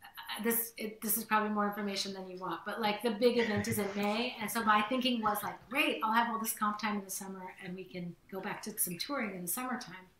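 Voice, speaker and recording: A woman's voice: mid-range voice, steady tone, fast talker, fairly bright tone, echoey room, a little hiss or hum, rough recording. A middle-aged woman, a singer-songwriter, chatting on a video call in a rambling casual way. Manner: chatty, rambling, says "like" often. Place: home room with green wall.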